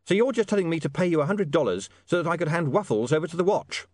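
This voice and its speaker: A man voicing a dry, pedantic, quick-witted gentleman in an audiobook story, polite and precise. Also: fairly high pitch, animated, no echo, pitched above the performer's own voice. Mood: sceptical, dry.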